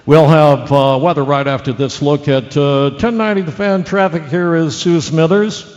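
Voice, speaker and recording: An actor playing a bumbling, chatty local radio news anchor who reads bulletins in a halting, folksy way with rambling asides. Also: male voice, on the high side, in a large hall, lo-fi sound, noisy background, lightly acted. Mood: salesy. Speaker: radio news and weather reader.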